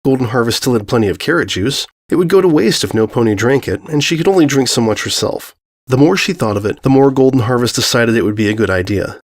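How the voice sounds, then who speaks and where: Male storyteller, mid-pitched voice, for scripted fiction narration. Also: lively intonation, quick pace.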